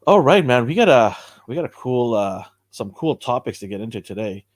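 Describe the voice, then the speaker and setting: Male podcast host, hoarse, upbeat, thanks the viewers, dry close sound. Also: medium pitch, big pitch swings, fairly quick, no room sound. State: hoarse from cheering. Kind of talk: casual live-stream chat.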